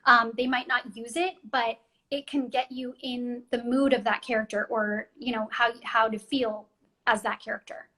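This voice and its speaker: A woman's voice: on the high side, little pitch movement, normal speed, bright, forceful tone, rough recording. A young adult woman chatting in an interview about voice acting, talking freely with many ums and restarts. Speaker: voice actress guest. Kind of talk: casual interview chat.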